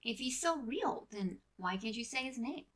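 Woman voicing a breathy, teasing teenage boy. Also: a little high, lively intonation, normal speed, fairly bright tone. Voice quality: breathy, often whispering. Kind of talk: amateur fan audio drama.

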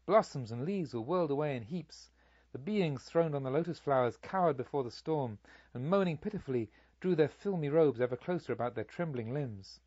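A man reading literary narration as an audiobook, in a solemn, measured storytelling manner. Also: on the high side, expressive, a little mellow, breathy edge, dry recording, dull audio. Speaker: audiobook reader.

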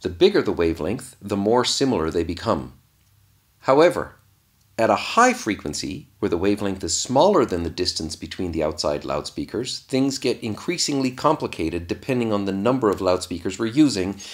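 A man with a fairly low voice narrates a scripted lesson. His intonation moves widely up and down as he explains. He sounds like an instructor, laying out each point step by step. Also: very animated, normal speed.

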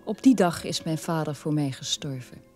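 An actor playing a middle-aged, estranged son in a radio drama, telling his story in a measured way. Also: on the high side, faint music behind, close to an everyday voice. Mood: resigned, estranged.

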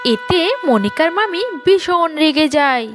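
A woman's voice: high voice, animated, slow pace, music in the background. A woman narrating a scripted cartoon moral story as a storyteller.

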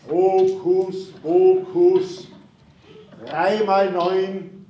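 A man's voice: sits high, steady tone, dark tone, a little hiss or hum. A man playing a haughty, pompous sorcerer in a children's stage play, drawing out his rhymes like incantations. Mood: haughty, incanting. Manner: drawn-out, theatrical incantation. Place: theatre hall stage, indoors. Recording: muffled, echoey hall from far off.

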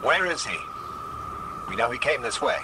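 Man playing an intense, animated game scout. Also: medium pitch, moderate pace, very noisy, lightly acted. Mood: determined. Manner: urgent, pointed, challenging. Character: male guide tracking his commander, intense, defiant, blunt. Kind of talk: video game voice acting.

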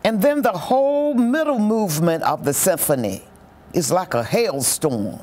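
A commentator explaining a historic speech in a reflective, expressive storytelling way. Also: mid-range voice, a little slow, slight background noise. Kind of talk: reflective documentary-style commentary.

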